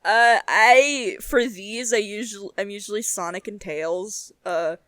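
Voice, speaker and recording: A woman's voice: mid-range voice, a little slow, fairly bright tone, dry recording, clean studio sound. A young adult woman chatting casually as a podcast co-host, giggly and emphatic, with plenty of swearing. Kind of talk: casual chat.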